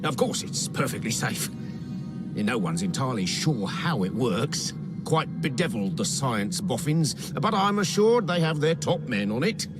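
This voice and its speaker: An eccentric British gentleman guide in a video game. His mid-pitched voice swings up and down, chipper and quaintly theatrical. Loud music plays behind him. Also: big pitch swings, a little slow. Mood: chipper, wry. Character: jolly, eccentric British gentleman station guide of an underground realm. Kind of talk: video game cutscene acting.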